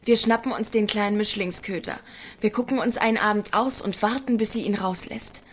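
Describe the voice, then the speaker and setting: A woman's voice: medium pitch, fairly quick, slightly rough, natural voice. A woman playing a teasing, thrill-hungry teenage village girl in a naturalistic German radio drama. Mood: playful, plotting.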